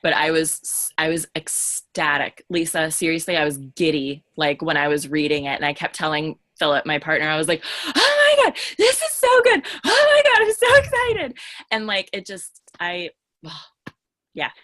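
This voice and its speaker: A woman chats casually and effusively on a podcast panel. Her voice is fairly low at rest but very animated, with wide swings in pitch. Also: normal speed. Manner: gushing, effusive. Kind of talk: casual chat.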